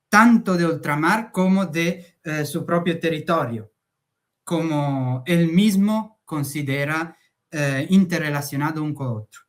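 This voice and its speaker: Slow, hesitant male academic speaker. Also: on the high side, fairly bright tone. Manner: slow, hesitant, frequent "eh" fillers. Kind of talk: conference talk.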